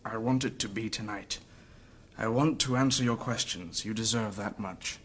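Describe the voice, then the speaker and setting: A man's voice: lowish voice, moderate pace, natural voice. Man acting a courteous, earnest fantasy adventurer, ambient noise. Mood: weary, resolute. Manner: formal, earnest storytelling. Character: wounded, courteous, earnest male adventurer recounting his tale. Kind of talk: scripted fantasy audio drama acting.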